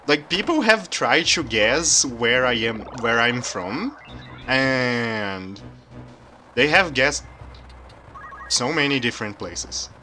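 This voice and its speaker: A soft-spoken man from Brazil, a native Portuguese speaker, chatting calmly while streaming a game. His accent is hard to place and often taken for German. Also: mid-range voice, takes it slowly. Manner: soft-spoken, quiet and calm. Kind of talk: casual live-stream chat while gaming. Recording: game music playing behind.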